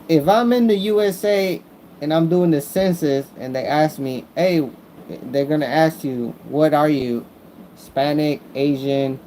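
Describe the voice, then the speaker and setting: An American man gives a casual, rambling vlog monologue in a slightly high, soft-edged voice. He talks at a very unhurried pace, repeating himself and halting as he looks for the next word. A faint air-conditioning hum sits behind him. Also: low-quality recording. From Mexican-American, born in the United States. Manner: repetitive, halting, searching for words. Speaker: vlogger. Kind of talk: casual rambling opinion talk.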